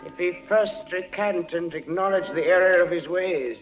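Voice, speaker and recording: A man acts out a stern judge of the Inquisition in an old-time radio play. His voice is pitched high for a man and pronounces each phrase with solemn, merciless weight. The recording is dull and muffled, with faint music behind it. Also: moderate pace, lightly acted. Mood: grave, condemning. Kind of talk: 1940s radio acting.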